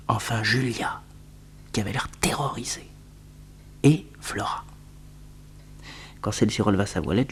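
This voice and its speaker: An actor playing a detective's wry young assistant in a French radio serial, narrating and questioning with polished suspense. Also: male voice, fairly low pitch. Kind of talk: dramatic first-person narration and dialogue, radio serial. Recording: noisy old radio recording with hum.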